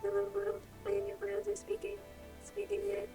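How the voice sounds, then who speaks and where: A synthetic text-to-speech voice, artificially pitched up to sound childlike, reading mechanically as a browser demo. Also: moderate pace, vocal fry, faint music behind. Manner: mechanical, flat.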